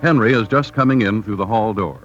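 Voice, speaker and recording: A man's voice: on the low side, a little fast, faint music behind. A man announcing a 1930s radio show in a scripted, presentational style, on a dull old recording.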